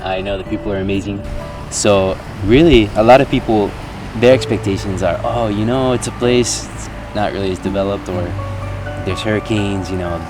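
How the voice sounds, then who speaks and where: A young man talking casually to camera as a vlogger, relaxed and reflective with plenty of ums. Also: lowish voice, normal speed. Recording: music bed loud underneath.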